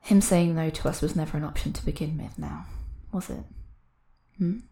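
A woman voicing the cold, scornful dark side of a troubled woman, speaking low, mocking and controlled. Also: moderate pace, gravelly.

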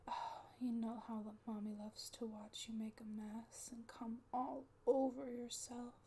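A woman in an intimate audio roleplay, speaking softly and often slipping into a coaxing whisper. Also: fairly high pitch, flat delivery, measured pace, a little hiss or hum. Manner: soft, often unvoiced whispering; coaxing, doting caretaker tone.

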